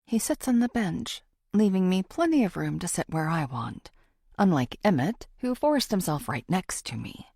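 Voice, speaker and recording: A woman reading a first-person thriller audiobook in a dramatic, expressive way. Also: fairly low pitch, moderate pace, creaky, breathy edge, no room sound, clean studio sound. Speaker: audiobook narrator.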